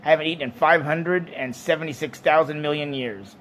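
A man's voice: a little high, brisk, a bit bright, voice pushed up, lightly acted, poor audio. A man voicing a brash, hungry male space traveller in a comic storybook, blunt and impatient in manner.

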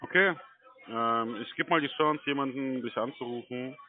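A man's voice: medium pitch, moderate pace, no echo. A man working as a call-centre caller, chatting casually in an easygoing, hesitant way. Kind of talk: casual phone chat. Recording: narrow thin phone line.

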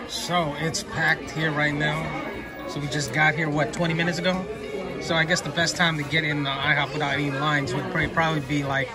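A middle-aged man chatting casually for a family vlog, narrating small actions in a laid-back, folksy way. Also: a little high. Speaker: vlogger dad filming his family. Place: busy indoor chain diner. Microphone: handheld camera, operator behind it. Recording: loud music and restaurant crowd din.

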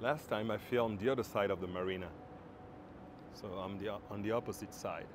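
A man's voice: on the low side, a little fast. A man from Congo, chatting easygoing to camera on a smartphone while walking outdoors along a waterfront at night. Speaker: travel vlogger. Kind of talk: casual vlog talk to camera. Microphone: smartphone on a handheld gimbal. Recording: street traffic noise behind.

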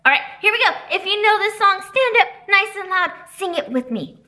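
Woman, children's librarian, very high voice, bright and lively, storytime to kids, webcam in a library room. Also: fairly quick. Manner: animated, sing-song teacher voice. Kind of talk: storytime reading and announcements for kids.

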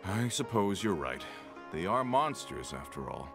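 A man's voice: low-pitched, sing-song, unhurried, gravelly, over music, heavily acted. An actor playing a weary, earnest villager in a video game, wistful about his ordinary life. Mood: wistful, resigned. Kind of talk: video game character acting.

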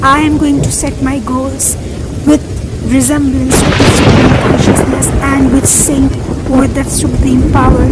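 A woman leading a guided meditation with affirmations, speaking earnestly in measured, separate phrases. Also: high voice, heavy background noise. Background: meditation music.

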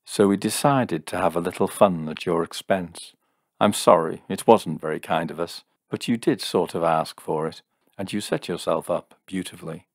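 A man voicing a calm, gently reproving young woman with teasing wit in an audiobook, soft-spoken and matter-of-fact. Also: deep voice, normal speed, dry recording, clean studio sound. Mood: calm, knowing.

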